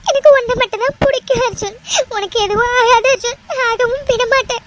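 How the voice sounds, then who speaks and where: A woman's voice: very high voice, steady tone, fairly quick. A woman playing a distraught, devoted young woman in an audio drama, crying and pleading over her injured beloved. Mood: desperate, tearful devotion. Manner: tearful, pleading, repetitive begging. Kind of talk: melodramatic Tamil audio drama acting. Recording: noisy recording with clicks.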